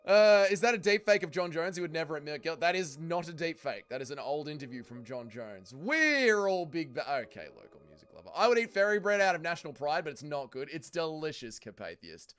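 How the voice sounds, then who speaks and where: A man's voice: fairly high pitch, animated, a little fast, hardly any pauses, a bit bright, clear, resonant tone, soft background music. A young man, hosting a casual livestream and bluntly arguing with chatters he reads out. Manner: heated, blunt, calls chatters trolls. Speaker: livestream host reading chat.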